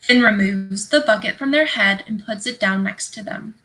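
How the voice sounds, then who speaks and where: A woman's voice: medium pitch, moderate pace, bright, forceful tone. A woman reading stage directions aloud as scripted narration for a play.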